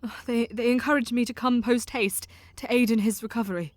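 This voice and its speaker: Actress as an earnest, nervous young woman, caring, stammers, a little high. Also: steady tone, brisk, no echo, natural voice. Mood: relieved. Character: earnest, devoted young woman, anxious and self-doubting. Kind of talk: audio drama acting and diary narration.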